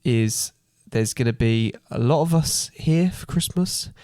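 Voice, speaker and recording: A man's voice: medium pitch, unhurried, a little mellow, no echo, studio quality. A man co-hosting a podcast in casual chat. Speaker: podcast co-host.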